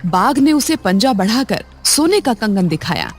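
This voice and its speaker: A woman's voice: medium pitch, sing-song, unhurried, soft background music. Woman narrating children's moral stories. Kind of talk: scripted children's story narration.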